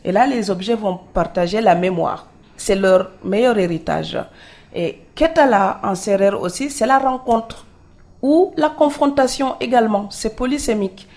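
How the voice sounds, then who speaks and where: A woman from Senegal, an author being interviewed, telling her stories in a lively way and laughing easily. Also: mid-range voice, moderate pace. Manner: lively storyteller, laughs easily, acts out quoted dialogue. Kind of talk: conversational interview.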